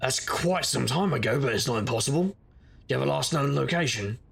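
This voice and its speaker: An actor playing a wry, world-weary supernatural private detective, narrating a noir audio drama in a gravelly, husky, deadpan voice. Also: male voice, mid-range voice, a little fast, heavily acted. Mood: dry, weary.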